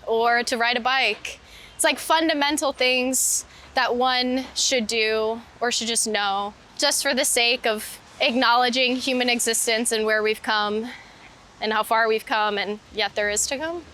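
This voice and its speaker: Bright highish woman's voice, rambling thoughtful chat while out walking, says like a lot. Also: fairly even intonation, a little slow, slight background noise. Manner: meandering, says "like" often. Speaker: interviewed street photographer and painter. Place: outdoors in a city park.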